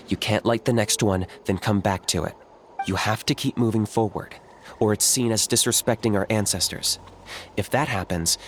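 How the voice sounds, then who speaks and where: Low dry-voiced young mentor, played by a man. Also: a little hiss or hum, lightly acted. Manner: dry, matter-of-fact instructions. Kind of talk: video game voice acting. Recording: game audio with loud background music.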